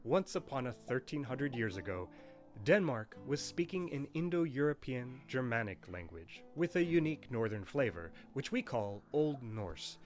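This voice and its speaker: A man's voice: mid-range voice, sing-song, moderate pace, darkish tone. A man narrating a scripted history of a language in a playful, wry storytelling manner. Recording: narration with faint background music.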